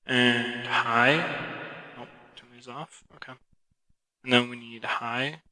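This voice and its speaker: A man's voice: medium pitch, steady tone, slow pace, bright, forceful tone, rough recording. A man casually rambling through a review of a cheap audio mixer, thinking aloud as he goes, speaking into a cheap microphone. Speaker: product reviewer. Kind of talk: casual unscripted product review. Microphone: cheap mixer-connected microphone.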